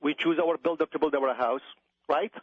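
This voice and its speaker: A man's voice: fairly high pitch, normal speed, slightly rough, dry recording. A middle-aged man with an Iraqi accent speaking as an expert guest in a conversational radio interview, emphatic and given to repeating phrases. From Iraq. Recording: dull, dry radio audio.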